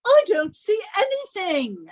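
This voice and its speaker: A woman's voice: extremely high pitch, wildly swooping pitch, unhurried, a little mellow, heavily acted. A woman playing a bossy, haughty British wife in a radio suspense drama, with an imperious, theatrical delivery. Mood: scornful, impatient.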